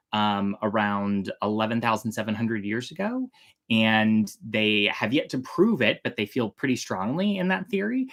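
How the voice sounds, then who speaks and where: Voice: mid-range voice, fairly bright tone. Casual, chatty storyteller with a mid-range voice. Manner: informal, chatty, hedging with "kind of" and "like". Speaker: host and storyteller. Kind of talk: casual explanatory chat to viewers, reading from notes.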